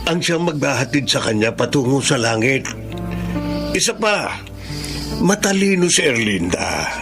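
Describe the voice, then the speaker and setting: An aging father talks in a very creaky, gravelly voice at medium pitch. He is loving and principled, in Filipino radio drama style with faint music behind. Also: big pitch swings, a little slow. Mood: earnest.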